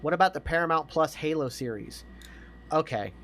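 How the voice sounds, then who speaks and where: A man's voice: a little high, lively intonation, moderate pace, a bit bright, a little hiss or hum. A man chatting casually as a podcast host, profane and opinionated.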